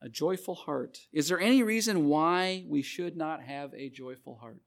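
A man's voice: a little high, normal speed, vocal fry. A man preaching a sermon, earnestly repeating scripture references and stressing key phrases.

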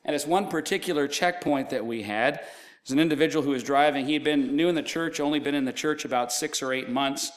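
A middle-aged preacher whose voice sits a little high for a man. His voice is fraying as he loses it, but he keeps going in a loose, conversational way, telling stories with offhand asides. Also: fairly quick. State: losing his voice. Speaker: pastor. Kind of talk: sermon.

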